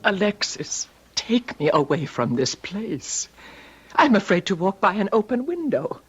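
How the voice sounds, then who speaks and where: A woman's voice: medium pitch, sing-song, unhurried, dull audio, over music. A woman acting in an old radio drama as a frightened, theatrical young ballerina, pleading. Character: frightened, melodramatic young Russian ballerina who defected.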